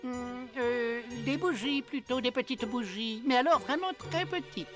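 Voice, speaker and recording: An actor playing a penny-pinching older headmaster of a cartoon ninja school, pompous, hesitant and wheedling. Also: male voice, very high-pitched, a little slow, over music. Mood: evasive, stingy. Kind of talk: French cartoon dubbing.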